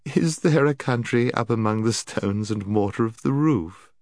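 Man voicing a hushed, coaxing witch queen. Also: medium pitch, no echo, clean studio sound, voice pushed up, close to an everyday voice. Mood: coaxing, amused. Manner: soft, soothing, slow, archaic courtly speech. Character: sweet, coaxing, half-mocking witch queen. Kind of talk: dramatised storybook reading.

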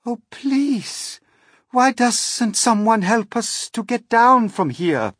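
A man's voice: extremely high pitch, lively intonation, slow pace, a little mellow, husky, no echo, studio quality, voice pushed up, exaggerated voice. A man voicing a big female spider in a storybook, frightened, plaintive and pleading. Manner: plaintive, calling out.

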